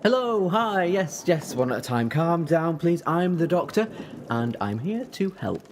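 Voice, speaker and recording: A man's voice: fairly high pitch, big pitch swings, measured pace, a little hiss or hum. A man playing an eccentric, defiant, youthful-looking time traveller in an audio drama, rambling in a playful, theatrical way. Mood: playful, flustered. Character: eccentric, whimsical, defiant time-travelling alien Doctor who looks young.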